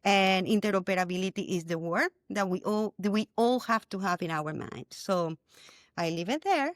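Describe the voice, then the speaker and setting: A woman shares her views on a casual panel with earnest enthusiasm, often circling back and repeating words. Her pitch is an ordinary middle. The recording is dry and close. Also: normal speed, no room sound. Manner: earnest, repeats words while searching for them. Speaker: panelist on content team.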